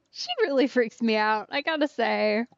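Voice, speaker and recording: A woman streamer giving casual game commentary, halting and musing aloud. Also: a little high, vocal fry, no room sound. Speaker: let's play streamer. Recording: muffled, dry, faint game music behind.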